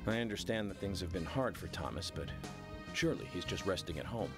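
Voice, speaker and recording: A very low-pitched, composed and slightly odd federal agent in a video game cutscene. He speaks formally and takes his time, with loud music playing over the dialogue. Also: animated. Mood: calm, considered. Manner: measured, formal, unhurried delivery. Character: calm, eccentric adult male FBI agent investigating murders.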